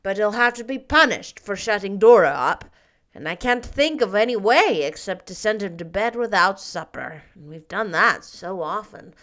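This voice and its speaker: A woman voicing a stern, practical older guardian who speaks in a dry, measured way. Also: mid-range voice, expressive, a bit bright, close to an everyday voice. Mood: weary. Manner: dry, measured, a little grim.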